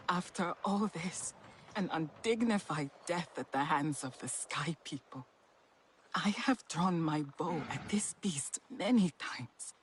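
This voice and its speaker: A woman playing a proud, stern alien huntress in a video game, brooding and deliberate. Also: low-pitched, slight background noise. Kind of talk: video game cutscene acting.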